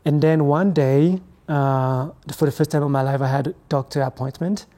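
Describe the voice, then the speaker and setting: A young man, his tone soft and mellow. He talks reflectively and haltingly, pausing to search for words as an interview guest. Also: a little high, fairly even intonation. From Ethiopia, raised in France. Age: 20s. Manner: reflective, hesitant, halting phrasing. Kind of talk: conversational interview.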